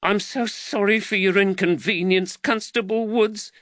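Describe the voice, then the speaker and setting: A man voicing an angry, exasperated working-class mother in a shrill, scolding way for a storybook. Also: fairly even intonation, a little slow, fairly bright tone, no room sound, clean studio sound. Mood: anguished. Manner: scolding, emphatic.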